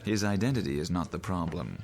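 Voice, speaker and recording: A man's voice: low voice, animated, fairly quick, creaky, darkish tone, soft background music. An actor playing an urbane, wry newspaperman musing over drinks in a radio drama, with theatrical phrasing.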